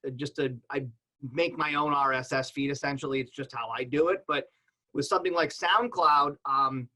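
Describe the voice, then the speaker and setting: A man's voice: medium pitch, steady tone, moderate pace, bright, forceful tone, poor audio. A man giving casual, conversational explanations as a podcaster on a panel, illustrating points with examples.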